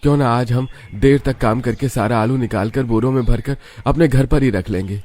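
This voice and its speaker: A man's voice: mid-range voice, moderate pace, a little mellow, lightly acted. Man voicing a plaintive poor village potato seller. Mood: weary.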